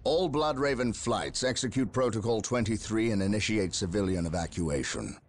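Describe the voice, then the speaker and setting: An actor playing a proud, commanding space-marine captain in a game cutscene, giving curt orders. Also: male voice, on the low side, normal speed, close to an everyday voice. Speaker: game character. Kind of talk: video game cutscene acting. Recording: game cutscene with music underneath.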